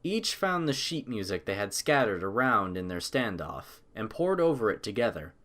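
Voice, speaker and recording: A man's voice: on the low side, big pitch swings, normal speed, fairly bright tone. A man narrating a story as a scripted audiobook.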